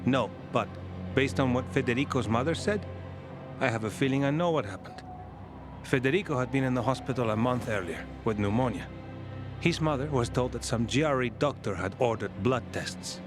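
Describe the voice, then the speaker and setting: An actor playing a curious, earnest young son in a video game, telling things openly and naturally. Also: male voice, mid-range voice, animated, normal speed, close to an everyday voice. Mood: grave. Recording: music behind the voice.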